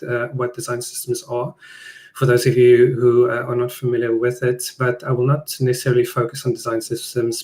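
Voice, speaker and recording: Even-toned man presenting. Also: mid-range voice. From South Africa. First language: Afrikaans. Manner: conversational, plain-spoken. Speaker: product designer presenting a webinar. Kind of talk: presenting a live online talk.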